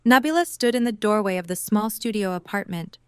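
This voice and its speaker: A woman's voice: medium pitch, lively intonation, a little fast, smooth, dry recording, clean studio sound. A woman reading scripted third-person story narration for an audio drama.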